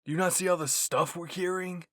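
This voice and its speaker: A man voicing hot-headed, bickering teenage boy soldiers in an anime fan fiction, loud, exasperated and snapping. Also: sits high, steady tone, brisk, no echo, studio quality, pitched above the performer's own voice. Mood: irritated, shouting. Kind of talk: character voices in a fan fiction reading.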